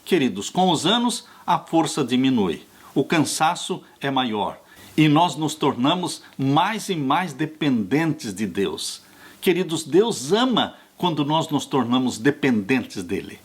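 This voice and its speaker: An older man in his sixties preaches slowly and earnestly, speaking to his congregation as dear ones. His voice is husky and sits a little high for a man. His pitch swings widely as he stresses each point. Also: big pitch swings. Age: 60s. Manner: earnest, slow pastoral preaching, warmly addressing listeners as "dear ones". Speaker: pastor. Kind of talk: sermon on a Bible study lesson.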